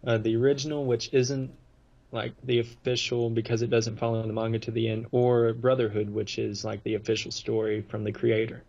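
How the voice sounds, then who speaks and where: A man's voice: mid-range voice, flat delivery, fairly quick, creaky, a little mellow. A man hosting a podcast, chatting casually as a fan over a muffled lo-fi connection. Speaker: podcast host.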